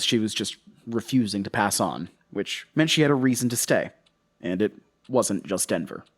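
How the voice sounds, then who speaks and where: Male actor as troubled investigator, horror audio drama, fairly deep, halting, defensive, confessional. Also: natural voice. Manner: halting, rambling, anxious, confessional.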